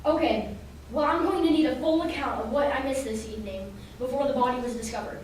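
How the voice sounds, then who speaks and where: Woman playing an earnest detective, high voice, echoing hall. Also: fast, darkish tone, lightly acted. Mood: businesslike. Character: earnest male police detective at a high school reunion. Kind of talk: old-time radio drama acting. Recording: very echoey hall, distant mic, faint noise.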